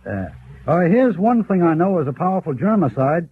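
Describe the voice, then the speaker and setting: An actor playing a curious, impressed visitor, folksy and hesitant, in scripted 1930s educational radio dialogue. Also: male voice, a little high, big pitch swings, moderate pace, a little hiss or hum. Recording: tinny 1930s radio recording.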